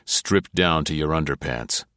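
A male narrator playing a tough, cool-headed American agent who speaks low and deliberately. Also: sharp tone, dry recording, clean studio sound, heavily acted.

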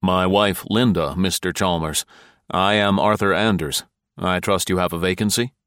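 Man reading a low, curt American husband. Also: fairly even intonation, moderate pace, fairly bright tone, dry recording, studio quality. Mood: formal. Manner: crisp, curt. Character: terse, guarded American husband, crisp and curt. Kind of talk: audiobook character voice.

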